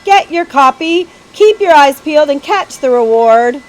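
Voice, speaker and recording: Woman putting on a loud, piercing street news crier. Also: high-pitched, lively intonation, voice pushed up, poor audio. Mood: urgent, sensational. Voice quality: piercing, crow-like cry. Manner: loud drawn-out hawker's cries. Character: shouting street news seller, man, urgent and sensational.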